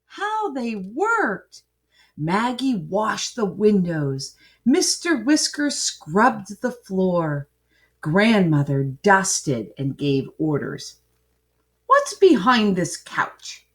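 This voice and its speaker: A woman reading a children's storybook aloud in an expressive, dramatic way, with asides to young listeners. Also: mid-range voice, drawn-out words. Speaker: picture book reader.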